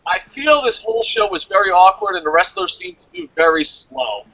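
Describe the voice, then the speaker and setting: A man casually co-hosting a review show, reading out comments and answering them in a blunt, animated, sweary way. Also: high-pitched, a little fast, vocal fry, no echo, low-quality recording. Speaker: co-host of a wrestling review show.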